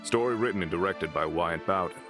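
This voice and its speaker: Low-voiced man reading credits over music. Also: fairly quick. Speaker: credits announcer. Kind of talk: scripted credits narration for an audio drama. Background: music behind the voice.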